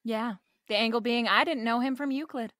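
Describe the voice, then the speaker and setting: A woman's voice: fairly high pitch, fairly quick, a bit bright, no room sound, clean studio sound, close to an everyday voice. An actress playing a wisecracking, flirtatious female private eye with a snappy, wry manner in a radio detective drama. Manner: snappy, wry, hard-boiled. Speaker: lead actress. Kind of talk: remake of 1940s radio detective drama, with narration.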